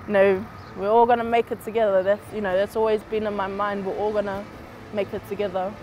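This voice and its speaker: A young man, talking casually and softly in an outdoor street interview with relaxed slang. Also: sits high, brisk, a little hiss or hum. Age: young adult. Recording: music bed underneath.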